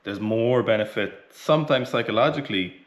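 A man's voice: mid-range voice, fairly quick. A man in his thirties with an Irish accent, chatting bluntly about weightlifting between sets in a small home gym, sweaty from training. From Ireland. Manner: blunt, chatty, swears casually. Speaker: weightlifting coach. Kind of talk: casual training vlog talk. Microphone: camera close in front.

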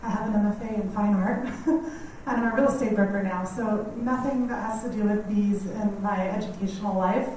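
Quiet woman lecturing in an echoey hall. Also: medium pitch, normal speed. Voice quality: quiet, slightly scratchy voice. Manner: friendly, chatty, laughs often, many ums. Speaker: guest speaker on native bees. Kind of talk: community lecture. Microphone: standing mic heard from a distance. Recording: echoey hall, mic far off, faint noise.

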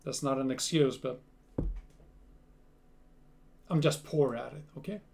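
Slow-talking Swedish man. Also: medium pitch, fairly even intonation, vocal fry, background noise. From Sweden. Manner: slow, repeats words, restarts. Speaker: reaction video host. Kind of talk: casual reaction commentary. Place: small home room full of gear.

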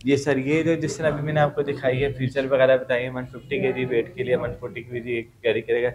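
A man's voice: mid-range voice, flat delivery, fairly quick, a crowd in the background. A man with a Pakistani Urdu accent, working as a salesman, politely explaining treadmill features in a showroom and calling the host sir. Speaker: shop salesman. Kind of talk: product explanation. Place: indoor gym equipment showroom.

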